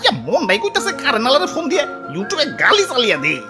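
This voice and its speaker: A performer voicing an excitable, dramatic cartoon character who exclaims comically about everyday griefs. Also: sits high, quick pace, full character voice. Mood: dramatic griping. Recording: loud music behind the voice.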